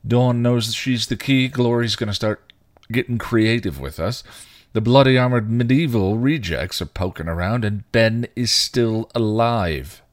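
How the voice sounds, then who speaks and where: A man voicing a bitter, guilt-ridden male vampire, rough and full of crude slang. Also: medium pitch, unhurried, dry recording, studio quality, pitched above the performer's own voice, lightly acted. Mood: angry. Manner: snarling, rough, clipped slang. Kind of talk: fan fiction reading.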